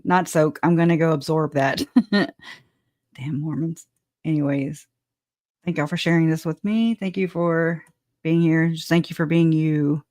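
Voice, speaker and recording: A woman's voice: deep voice, darkish tone, dry recording, studio quality. A woman hosting a livestream, chatting casually and rambling, with frequent restarts and laughter. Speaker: livestream host.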